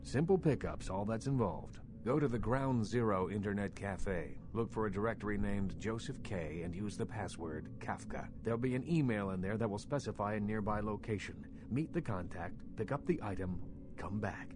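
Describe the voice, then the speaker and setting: A man playing a formal, smug vampire baron in a video game, speaking in a measured, condescending, old-fashioned way. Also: fairly low pitch, natural voice. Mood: smooth, instructing. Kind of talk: video game dialogue acting. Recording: clearly noisy ambient background.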